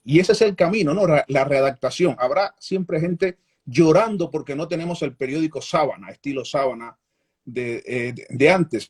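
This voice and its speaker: Cuban journalist guest, middle-aged man, husky voice, candid and measured. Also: a little high. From Cuba. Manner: frequent restarts, measured and candid. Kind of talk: reflective interview answers.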